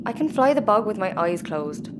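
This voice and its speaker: A woman's voice: medium pitch, big pitch swings, moderate pace, natural voice. Woman as cheeky young girl, mid-range voice, brash, defiant, casual, sci-fi comedy, background noise. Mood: cocky. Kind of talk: audio sci-fi comedy acting.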